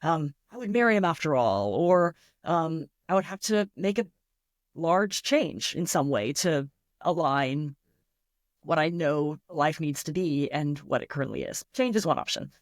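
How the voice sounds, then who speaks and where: A woman's voice: deep voice, lively intonation, a little slow, no room sound. Thoughtful woman, dry close sound. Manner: reflective, enthusiastic, illustrates points with imagined examples. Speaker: guest writer. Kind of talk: thoughtful interview talk.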